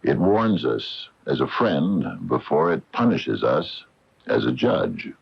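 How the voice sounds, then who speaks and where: Principled storekeeper, once a scientist: grave, bottom-register gravelly delivery, unhurried, vintage radio mystery. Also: male voice, a bass voice, sing-song, exaggerated voice. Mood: solemn. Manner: solemn, deliberate. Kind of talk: radio mystery drama acting. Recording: dull lo-fi 1980 AM radio.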